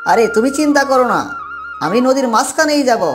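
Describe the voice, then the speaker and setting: An agreeable male village fisherman from a cartoon fable, voiced by a woman. The voice sits on the high side, casual and reassuring in its chat. Soft music runs behind it. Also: expressive, moderate pace. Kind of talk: Bengali cartoon fable character dialogue.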